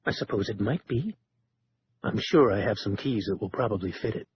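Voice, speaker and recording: A man voicing a calm, guarded male leader who speaks low and measured. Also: highs cut off, a little hiss or hum, natural voice.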